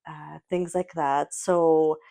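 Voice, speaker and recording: A woman in her thirties, a native Russian speaker, co-hosting a casual podcast from her apartment couch, animated and quick to laugh. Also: sits low, creaky. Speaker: podcast co-host. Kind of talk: casual chat. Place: living room couch in an apartment.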